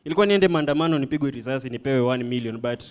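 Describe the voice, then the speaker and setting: A man's voice: mid-range voice, lively intonation, rapid-fire, clean tone. A Kenyan man doing a stand-up set, telling jokes in a dry storytelling way on a club stage. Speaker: stand-up comedian.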